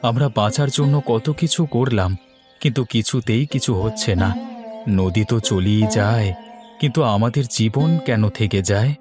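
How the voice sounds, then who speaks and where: A man's voice: fairly low pitch, moderate pace, close to an everyday voice. Man playing a plaintive poor village father over music. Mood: weary, bitter. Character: poor, despairing village father, pleading and bitter. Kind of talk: cartoon dialogue, melodramatic. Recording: music behind the voice. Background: background music.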